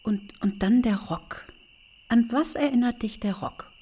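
A woman's voice: a little high, unhurried, dark tone, husky. A woman playing a disapproving, easily outraged mother in a children's radio play, accusing in manner. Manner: indignant, accusing.

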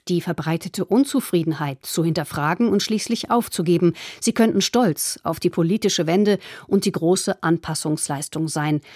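A woman hosting a radio book-review show, reading her scripted introduction in a calm, measured way. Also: on the low side, no room sound, studio quality. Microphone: studio microphone.

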